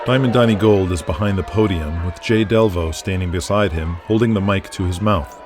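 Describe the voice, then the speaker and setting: A man's voice: deep voice, a little fast, over music. A man narrating a scripted audio drama in the present tense, with intense, punchy storytelling.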